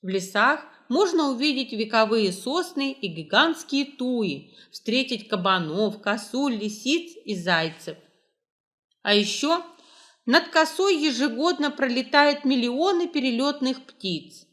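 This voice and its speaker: A woman reading scripted educational travel narration with measured, clear phrasing. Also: high voice, animated, a bit bright. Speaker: narrator, library staff.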